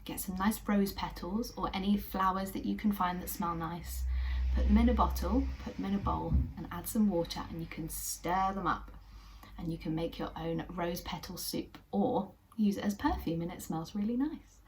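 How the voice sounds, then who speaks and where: Gentle English woman reading to children. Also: on the low side, moderate pace, slight background noise, rough recording. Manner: gentle, encouraging, sometimes whispery narration. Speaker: children's puppet show host. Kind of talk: storytelling for children.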